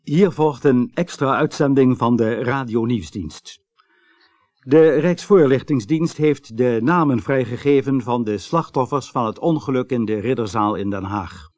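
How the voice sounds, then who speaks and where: A sober newsreader, played by a male actor, reading an official radio bulletin in a drama. His medium-pitched voice is precise and recorded very dry. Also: a little mellow, no echo, close to an everyday voice. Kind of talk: scripted news bulletin in a radio play.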